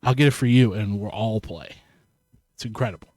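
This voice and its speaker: A man's voice: on the low side, a little fast, a little mellow, no echo, studio quality. A man co-hosting a podcast, easygoing and enthusiastic in casual chat. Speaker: podcast co-host.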